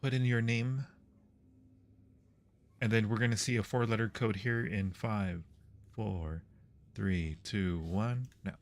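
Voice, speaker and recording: A man's voice: on the low side, steady tone, takes it slowly, long pauses, a little hiss or hum. A man hosting a livestream, chatting casually with his viewers while playing games. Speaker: livestream host.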